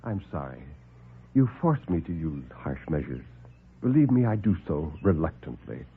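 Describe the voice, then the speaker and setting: A man playing a calm, superior doctor who speaks formally and with cold courtesy, in classic radio horror storytelling. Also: rumbling low, breathy edge, pitched below the performer's own voice, full character voice. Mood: regretful, superior. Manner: formal, measured, softly condescending. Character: calm, superior male doctor, sinister and coldly courteous captor. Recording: muffled old radio broadcast recording.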